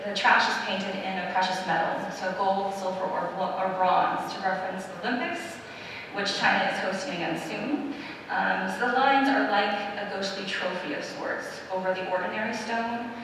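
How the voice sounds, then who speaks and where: A woman artist giving a reflective talk about her work in an art gallery, pausing on frequent ums. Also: mid-range voice, noisy background. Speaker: exhibiting artist. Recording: echoey gallery from far off.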